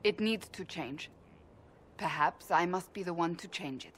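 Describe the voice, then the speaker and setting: A very low-pitched female warrior, played by an actress, stern and principled. She speaks in formal, deliberate phrases with earnest bluntness, as in a dramatic fantasy game. Background noise sits under the voice. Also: lightly acted. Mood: earnest, troubled. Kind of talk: dramatic video game dialogue.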